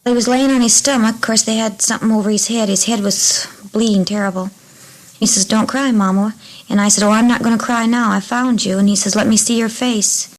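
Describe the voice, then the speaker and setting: A woman's voice: fairly high pitch, steady tone, brisk, rough recording. A woman recounting her memories in an unscripted 1950s radio interview. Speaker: interviewed mother. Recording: 1950s radio tape, music underneath.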